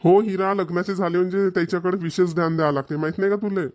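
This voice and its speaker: A resigned middle-aged village husband from a cartoon comedy, acted by a man. His voice sits a little high for a man, and he grumbles in homely Varhadi Marathi. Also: steady tone, rapid-fire, darkish tone, natural voice. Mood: nagging. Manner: complaining, colloquial.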